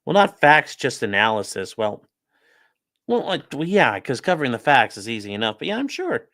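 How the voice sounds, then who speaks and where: A man's voice: medium pitch, sing-song, normal speed, fairly bright tone. A man casually chatting with his livestream audience, joking and answering viewer comments in a sarcastic, offhand way. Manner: joking, sarcastic, talks straight to chat. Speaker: streamer and legal commentator. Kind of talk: casual livestream chat reading viewer comments while building a model.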